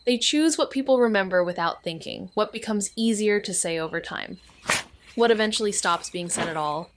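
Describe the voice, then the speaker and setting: A woman playing a young, world-weary sleuth who narrates a noir audio drama in a measured, deliberate, somber way, as an observer. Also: mid-range voice, background noise, natural voice. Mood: somber, reflective.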